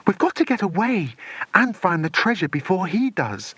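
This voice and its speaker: Schoolboy adventurer acted by a male reader: plucky, urgent, animated audiobook delivery. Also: sits high, normal speed, husky, no room sound, pitched above the performer's own voice, exaggerated voice.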